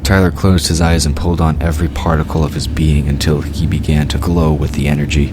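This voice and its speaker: A man's voice: extremely low pitch, level tone, brisk. A man reading scripted narration for an audio drama. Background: loud music.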